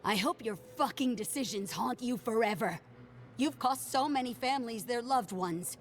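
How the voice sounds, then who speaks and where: A woman's voice: on the high side, expressive, normal speed, soft background music, close to an everyday voice. A woman acting a grieving, accusing woman in a dramatic video game scene, scornful and bitter. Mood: bitter grief. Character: grieving, bitter woman condemning an envoy over deaths.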